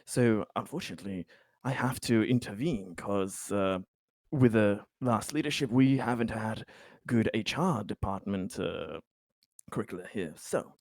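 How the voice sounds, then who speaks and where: A man playing a placating yet firm bureaucratic official in improvised tabletop roleplay, speaking haltingly and officiously with long pauses. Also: lowish voice, darkish tone, no echo, clean studio sound. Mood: officious, grave.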